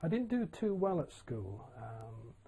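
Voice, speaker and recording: An older man from Yorkshire telling his own career story in a relaxed, plain-spoken way, with frequent ums and uhs. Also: medium pitch, a little slow, vocal fry, slightly rough. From Yorkshire, England. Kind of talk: casual autobiographical monologue.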